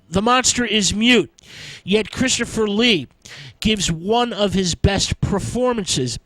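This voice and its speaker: High-voiced man, very slow halting phrasing, film commentary, radio host. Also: fairly bright tone, no room sound, low-quality recording. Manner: halting delivery in short measured phrases.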